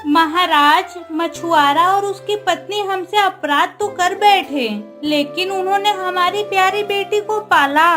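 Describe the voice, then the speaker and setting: A woman acts out a motherly cartoon queen, gentle and dignified. Her voice is extremely high and unhurried, with music faintly behind it. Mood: forgiving. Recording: music under the voice.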